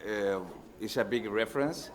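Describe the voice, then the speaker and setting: A man on a university seminar panel, speaking haltingly with many fillers. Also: fairly low pitch, crowd noise. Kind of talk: academic panel discussion.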